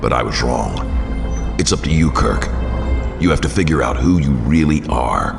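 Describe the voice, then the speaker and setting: Actor doing a brooding male vigilante, extremely low, grave, measured, reverberant, music underneath. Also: lively intonation, gravelly, exaggerated voice. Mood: solemn. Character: brooding adult masked vigilante, stern and principled. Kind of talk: audio drama acting.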